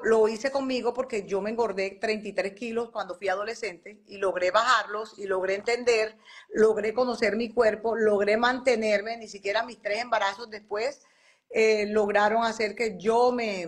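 A woman's voice: mid-range voice, steady tone, moderate pace. A woman, talking as a guest on a live video call and animatedly acting out quoted dialogue as she tells stories. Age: 40s. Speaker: guest doctor and skincare entrepreneur. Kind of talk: casual live interview. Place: home room by a bright window. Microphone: phone on video call.

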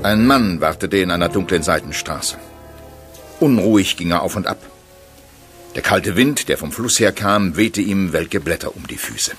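A man's voice: low voice, animated, moderate pace, over music. A man narrating a scripted crime radio drama as a grave storyteller. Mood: grave storytelling.

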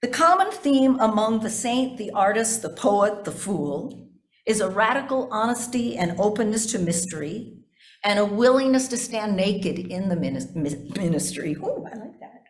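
An older woman pastor delivering a sermon from notes in deliberate, measured phrases, with asides to her congregation. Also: medium pitch, expressive. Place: indoors in a church sanctuary at a pulpit. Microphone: gooseneck pulpit microphone. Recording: echoey church sanctuary.

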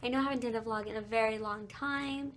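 A young woman with a raspy voice, chatting casually in a home vlog, apologetic and full of ums and likes. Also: on the high side, fairly even intonation, fast, fairly bright tone, a little hiss or hum. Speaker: vlogger. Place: bedroom at home. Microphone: phone held at arm's length.

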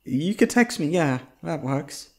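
Man acting a shy, flustered young romantic, pitched up. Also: animated, a little slow, darkish tone. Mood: shy delight. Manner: hesitant, rambling, breathy. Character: shy, flustered, lovestruck young man after a first date. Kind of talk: ASMR roleplay acting.

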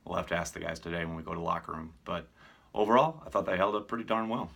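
Middle-aged man, American accent, low pitch, forceful bright voice, casual sports host. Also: steady tone, quick pace, creaky, husky. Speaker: sports reporter hosting a fan voicemail segment. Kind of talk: casual sports chat to camera. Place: small furnished room at home, indoors.

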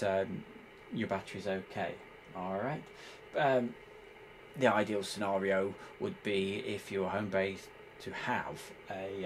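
A man giving an informal hands-on tutorial, explaining step by step in a halting, hesitant way. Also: fairly low pitch, slight background noise, low-quality recording. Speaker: presenter demonstrating radio equipment.

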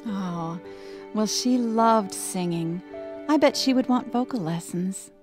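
An American actress playing a warm, delighted middle-aged mother in a family radio drama. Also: mid-range voice, slow, soft background music, close to an everyday voice. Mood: wistful.

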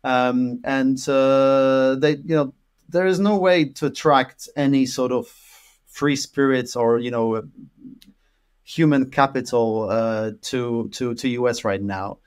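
A man's voice: medium pitch, slow pace, clear, resonant tone. A man in his thirties, from Poland, talking as a guest in an interview over a video call from a room at home. He rambles, with lots of fillers and repeated words. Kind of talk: casual interview conversation. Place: room at home with an orange wall.

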